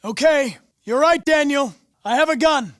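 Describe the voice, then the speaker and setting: A man's voice: extremely high pitch, a bit bright, no room sound, clean studio sound. A man voicing a young android police investigator in a video game, with an American accent and a precise, formal, mission-driven manner. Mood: tense, pleading. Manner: precise, clipped, formal, measured, insistent when pressed. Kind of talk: video game voice acting, isolated dramatic lines.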